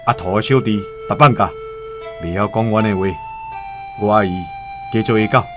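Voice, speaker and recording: An actor playing a shrewd, self-serving Han trader, calculating and wary of trouble, with a Taiwanese accent in a radio drama. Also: male voice, on the low side, moderate pace, lightly acted. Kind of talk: radio drama dialogue and first-person storytelling. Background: music.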